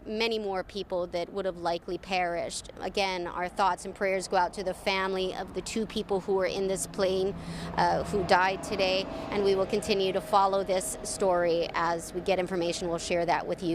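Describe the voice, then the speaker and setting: A woman's voice: a little high, fairly bright tone. A woman field reporter giving a live, partly ad-libbed TV news report. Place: outdoors on a roadside at an incident scene. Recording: noisy outdoor street with traffic.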